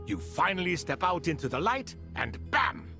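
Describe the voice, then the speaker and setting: A high-pitched, brash ancient spirit. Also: male voice, big pitch swings, normal speed, sharp tone, faint music behind, exaggerated voice. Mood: brash, exclamatory. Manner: theatrical, indignant. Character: brash, excitable ancient servant spirit, proud old-timer. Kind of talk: video game voice acting.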